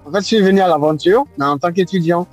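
An Ivorian-accented man in his forties talks in a slightly high voice. He answers hesitantly, filling pauses and repeating himself, while soft music plays faintly in the background. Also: lo-fi sound. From Ivory Coast. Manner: hesitant, many fillers and repeated words. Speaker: guest artist. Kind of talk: radio interview answers.

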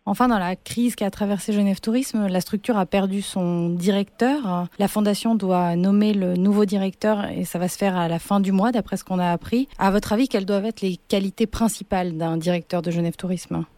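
A woman's voice: mid-range voice, steady tone, a little fast, few pauses, warm tone, dry recording. A woman working as a journalist, putting composed, probing questions in a news interview.

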